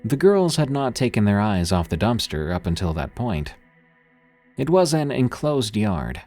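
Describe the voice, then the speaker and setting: A man narrating a scripted horror story in an expressive storytelling manner. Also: lowish voice, measured pace, soft background music.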